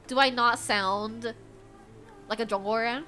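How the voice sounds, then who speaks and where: An American woman streamer, young, chatting casually with her chat while gaming, laughing often. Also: fairly high pitch. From United States. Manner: giggly, animated, frequent bursts of laughter. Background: faint game music.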